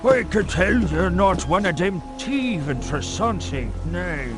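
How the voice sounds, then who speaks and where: Game character: wary country fellow, high voice, swinging intonation, folksy old-fashioned speech, music underneath. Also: male voice, animated, a little slow. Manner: folksy, archaic turns of phrase. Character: rustic local man, wary, former family servant. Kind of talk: video game character acting.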